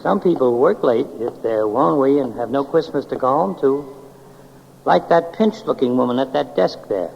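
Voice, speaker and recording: An actor playing a wry, insistent guardian angel in 1940s radio drama style, theatrical and challenging. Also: male voice, mid-range voice, animated, moderate pace, gravelly. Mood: solemn. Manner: theatrical, challenging, repeats for emphasis. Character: wry, insistent male guardian angel goading his charge. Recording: muffled, noisy old 1940s radio recording.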